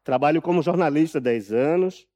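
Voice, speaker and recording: Higher-pitched Brazilian man giving a talk. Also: dark tone, lo-fi sound. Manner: deliberate, emphatic, repeats phrases for effect. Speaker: journalist and author speaking at an event. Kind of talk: live public talk, storytelling from a prepared text.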